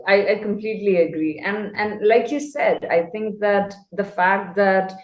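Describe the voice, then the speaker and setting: A woman's voice: medium pitch, steady tone, measured pace, highs cut off. Articulate woman in an echoey room. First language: Malayalam. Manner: thoughtful, articulate, laughs easily. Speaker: English tutor, guest. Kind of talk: casual conversation.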